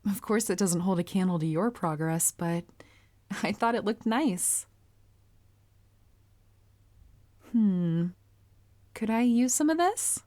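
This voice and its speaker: A woman playing a polite, earnest, bashful young anime heroine with a crush, speaking formally and gently gushing in a roleplay audio. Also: mid-range voice, lively intonation, a little slow, close to an everyday voice. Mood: modest, pleased. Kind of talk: anime character roleplay audio, addressed to listener.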